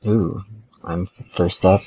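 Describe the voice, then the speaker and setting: A man with a deep voice, recorded with a dull, lo-fi sound. He co-hosts a podcast in casual chat, thinking aloud and hesitating as he goes. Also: steady tone, slow, warm tone, no echo. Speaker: podcast co-host.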